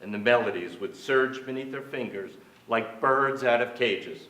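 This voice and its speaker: An actor playing a storyteller of family memories, reading in a theatrical literary style. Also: male voice, medium pitch, moderate pace, pushed and bright, close to an everyday voice. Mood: narrating, tense. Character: storyteller recalling tense family scenes. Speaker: stage actor in a staged reading. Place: theatre stage. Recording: echoey theatre, far from mic.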